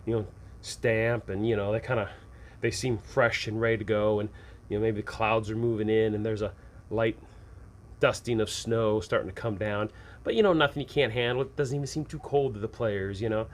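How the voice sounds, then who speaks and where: A man's voice: on the low side, fairly even intonation, moderate pace. A man hosting an advice show, talking through tips and examples in an informal, rambling, conversational way. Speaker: host of a tabletop game-mastering advice show. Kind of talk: conversational instructional talk, explaining with examples. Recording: clearly audible background noise.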